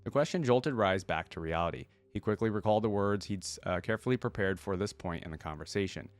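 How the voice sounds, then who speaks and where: A man's voice: on the low side, fast talker, no room sound. A man reading a fantasy story aloud expressively and adding casual remarks as a reaction host.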